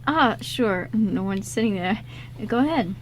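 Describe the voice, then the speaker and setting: A woman's voice: medium pitch, lively intonation, measured pace, low-quality recording. A woman voicing a nervous teenage boy with a crush in an amateur radio play, stammering and flustered. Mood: awkward, shy. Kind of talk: amateur radio script reading.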